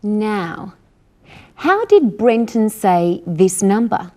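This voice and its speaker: A woman's voice: medium pitch, sing-song, drawn-out words, dark tone. A woman presenting a scripted English lesson with careful, instructional delivery. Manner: didactic, careful articulation.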